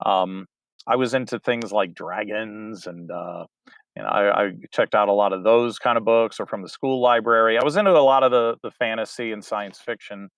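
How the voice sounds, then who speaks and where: A man's voice: lowish voice, moderate pace, a bit bright, smooth, dry recording. Chuckling West Virginian man, dry mic. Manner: rambling, chuckles between thoughts. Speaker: guest, audiobook narrator. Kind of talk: casual video-call interview.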